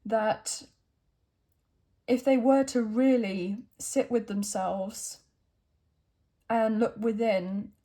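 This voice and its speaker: A woman with a croaky throat giving a chatty tarot reading to camera, thinking aloud as she draws cards. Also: on the high side, fairly even intonation, drawn-out words, long pauses, warm tone. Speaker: tarot reader.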